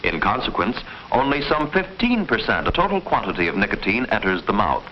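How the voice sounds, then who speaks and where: A man reading formal, measured, didactic scripted narration in the style of a mid-century educational film. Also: lowish voice, huge pitch swings. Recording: muffled, noisy old film soundtrack.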